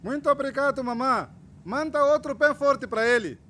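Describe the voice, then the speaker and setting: A man's voice: extremely high pitch, normal speed, pushed and bright. A man playing an eager, idealistic trainee teacher in his twenties in a radio drama, with a Mozambican accent and an affectionate, playful manner. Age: 20s.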